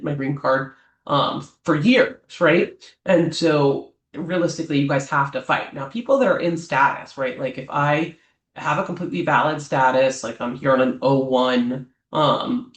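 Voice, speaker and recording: A man speaking as an immigration lawyer, giving advice to clients off the cuff and often checking in with a quick "right?". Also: medium pitch. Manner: talks off the cuff, ends many points with a questioning "right?"; frequent "um" fillers and restarts. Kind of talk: explanatory advice talk to clients. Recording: roomy echo.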